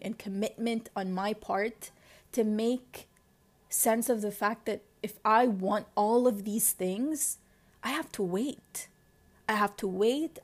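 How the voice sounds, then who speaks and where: A young woman in her twenties with a Lebanese accent, hosting a personal diary-style podcast and talking candidly and introspectively, often pausing to search for words. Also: mid-range voice. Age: 20s. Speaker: podcast host.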